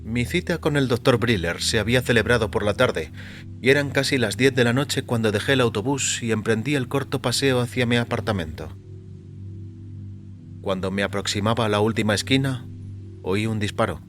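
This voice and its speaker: A man's voice: mid-range voice, moderate pace, a bit bright. Man narrating fiction over music. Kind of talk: scripted first-person story narration. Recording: music behind the voice.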